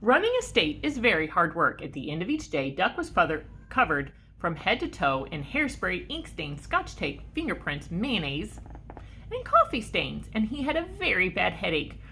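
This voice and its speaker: A woman's voice: lowish voice, wildly swooping pitch, normal speed, pushed and bright, slight background noise. A woman reading a picture book aloud to children like a teacher, expressively and with questions to the listeners. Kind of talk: animated storybook read-aloud for children.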